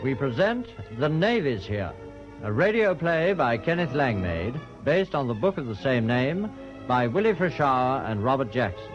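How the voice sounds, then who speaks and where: A man's voice: medium pitch, big pitch swings, normal speed. A man working as a radio announcer, giving a scripted introduction to a drama. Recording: dull recording under loud music.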